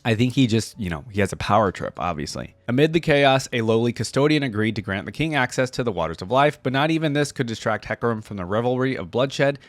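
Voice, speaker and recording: A man's voice: lowish voice, dry recording. A man hosting a reaction video in a casual, conversational way, moving between reading lore aloud and informal commentary. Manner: informal, conversational asides between read passages.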